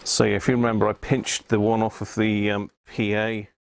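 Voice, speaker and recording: A man talks in a fairly low, creaky voice, muttering casually through hands-on repair work. The delivery is unscripted live-stream chat, with steady background noise and small clicks. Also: moderate pace. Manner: unscripted, muttering to himself while working. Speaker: hobbyist host of a live stream on radio repair. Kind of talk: casual live workbench commentary.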